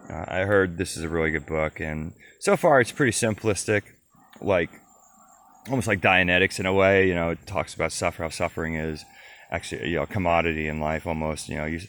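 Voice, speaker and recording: A man's voice: deep voice, brisk, a bit bright. An American man talking casually and reflectively into a handheld camera outdoors, rambling and trailing off as in a personal video diary. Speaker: solo bike-tour vlogger. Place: outdoors at a grassy hilltop campsite.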